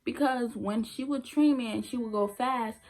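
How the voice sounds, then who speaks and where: A woman's voice: on the high side, normal speed, darkish tone, rough recording. A young woman, a former nursing assistant, telling her story in a casual vlog, blunt and emphatic, repeating her points for effect.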